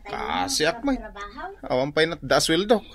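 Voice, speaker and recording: A man's voice: fairly high pitch, wildly swooping pitch, measured pace, a bit bright, exaggerated voice. A man with an Ilocano Filipino accent playing a vain, broke, wheedling office worker in a radio drama. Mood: whining.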